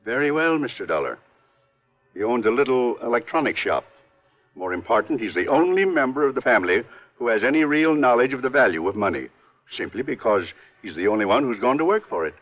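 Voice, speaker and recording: An actor playing an earnest, loyal American family lawyer in 1950s radio drama style, speaking in a measured, formal, emphatic way. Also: male voice, mid-range voice, big pitch swings, faint music behind. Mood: firm, insistent. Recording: muffled old radio broadcast recording.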